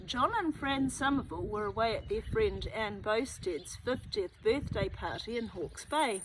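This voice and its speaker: A woman's voice: medium pitch, lively intonation, measured pace. A woman with a New Zealand accent reading a children's book aloud, adding chatty asides and thinking aloud to young listeners. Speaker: children's story reader. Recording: noticeable background noise.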